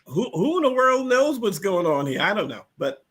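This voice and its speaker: A man talking casually and with strong opinions as a panel guest, animated and emphatic, often repeating himself. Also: high-pitched, normal speed, husky.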